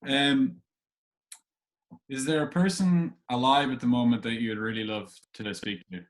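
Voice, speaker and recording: A man's voice: mid-range voice, steady tone, a little slow. A man hosting a casual interview, asking questions hesitantly and rephrasing them as he goes. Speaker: podcast host, interviewer.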